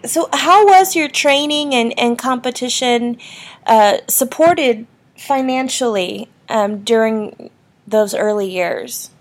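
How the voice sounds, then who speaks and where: Brief: woman archive interviewer, slightly high voice, steady and formal. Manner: measured, formal questioning. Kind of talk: oral history interview.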